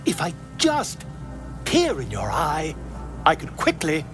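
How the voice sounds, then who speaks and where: A man's voice: a little high, huge pitch swings, slow, over music, exaggerated voice. A man voicing a chatty, self-important amateur scholar in a video game, theatrical and easily flustered. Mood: dismissive. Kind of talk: video game character dialogue.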